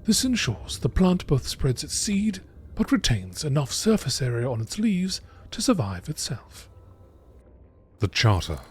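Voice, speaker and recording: A man reading scripted nature documentary narration aloud in a measured way. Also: a little high, big pitch swings, a little mellow, husky.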